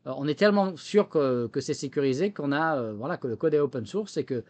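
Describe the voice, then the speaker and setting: Higher-voiced Frenchman explaining, searching for words. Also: lively intonation, brisk, a little mellow. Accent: French with a slight American tinge. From France. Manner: hesitant word-searching with repeated words. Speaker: technology entrepreneur and former government official, interview guest. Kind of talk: explanatory interview answers.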